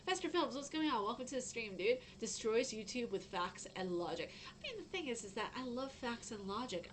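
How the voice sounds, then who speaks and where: Young woman streamer, kinda low voice, rambly with lots of ums, faint hum in the background. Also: rough recording. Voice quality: fairly low-sounding voice. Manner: chatty, rambling, frequent fillers and self-interruptions. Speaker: gaming news streamer. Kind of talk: casual live-stream chat.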